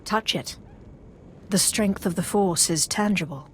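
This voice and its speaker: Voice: medium pitch, measured pace, fairly bright tone, slight background noise, close to an everyday voice. An actor playing a cool, composed Sith initiate in a video game, speaking in a formal, controlled way. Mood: cool, assured.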